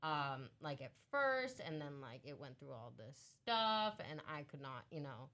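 A woman's voice: deep voice, big pitch swings, measured pace, fairly bright tone. A young woman co-hosting a casual podcast, chatty and rambling with lots of filler words. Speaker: podcast co-host. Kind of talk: casual chat.

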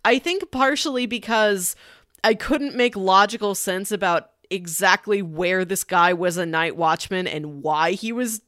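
A woman's voice: mid-range voice, measured pace, fairly bright tone, no room sound, clean studio sound. A woman co-hosting a casual film discussion podcast, analytical and emphatic as she makes her points. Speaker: podcast co-host reviewing a film.

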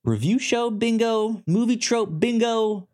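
A man co-hosting a podcast in a casual movie review chat, speaking in a halting, self-interrupting way. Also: sits high, a little mellow. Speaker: podcast co-host.

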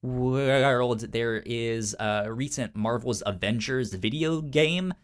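A man co-hosting a casual pop-culture news chat, stuttering on sounds and syllables, with halting, informal phrasing. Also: medium pitch. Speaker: podcast co-host.

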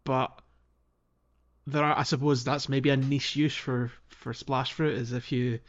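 A man's voice: mid-range voice, flat delivery, a little slow, highs cut off. A man co-hosting a casual video game podcast, talking in an informal, somewhat hesitant way. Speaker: podcast host.

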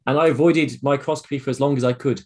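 A man's voice: mid-range voice, fairly even intonation, fast talker. British man casually interviewing a guest. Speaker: podcast host, interviewer.

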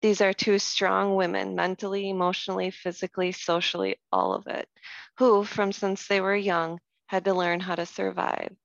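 A woman co-hosting a podcast who reflects on a past episode in a warmly admiring way. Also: medium pitch, normal speed, gravelly, no room sound, dull audio. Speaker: podcast co-host. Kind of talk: scripted reflection.

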